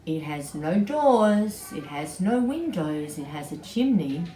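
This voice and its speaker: A woman voicing a wise, patient male monkey in a children's story, explaining deliberately. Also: deep voice, sing-song, warm tone, full character voice. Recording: distant mic, roomy echo, background noise.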